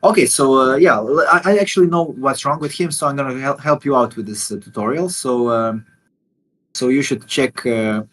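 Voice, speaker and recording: A man, a game developer, walking through his game in a livestream interview and talking haltingly with many fillers and restarts. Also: medium pitch, a bit bright. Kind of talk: explaining and demoing a game during a livestream interview.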